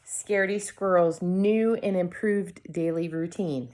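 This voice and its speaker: A woman reading a storybook aloud to children, expressively, with deliberate dramatic pauses and short asides to her viewers. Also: on the low side, steady tone, darkish tone, slight background noise.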